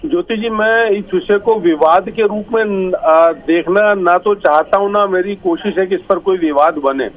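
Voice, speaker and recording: High-pitched man debating over a dull link. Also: unhurried. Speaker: political party spokesman, debate guest. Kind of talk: live TV debate argument.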